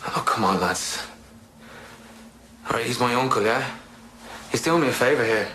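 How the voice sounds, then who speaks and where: A man's voice: mid-range voice, expressive, moderate pace, pushed and bright, a little hiss or hum. An actor playing a big, sheepish young working-class British man who answers hesitantly and defensively in an audio drama. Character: hulking but sheepish young working-class nephew, anxious under questioning.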